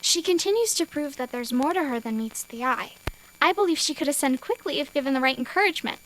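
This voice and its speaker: A woman's voice: high-pitched, expressive, fast, fairly bright tone, a little hiss or hum, low-quality recording. A woman playing a scheming, deferential adviser to an empress in an audio drama, formal and careful. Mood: deferential, measured.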